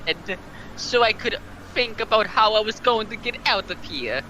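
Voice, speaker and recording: A man's voice: high voice, expressive, moderate pace, bright, forceful tone, rough recording. A man reading a story aloud in an intense, theatrical way, breaking off for joking asides. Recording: some background noise.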